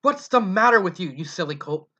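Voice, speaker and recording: A reader voicing a harsh, desperate mother pony who shouts and scolds her son, then apologizes. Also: medium pitch, moderate pace, close to an everyday voice. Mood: shouting. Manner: loud, scolding, pleading. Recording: dull lo-fi recording.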